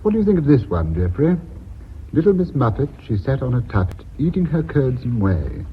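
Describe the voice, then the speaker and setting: An actor playing a whimsical, cheerful old textile designer in a radio drama. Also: male voice, medium pitch, big pitch swings, moderate pace, lightly acted. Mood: playful. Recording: dull, faintly noisy old radio recording.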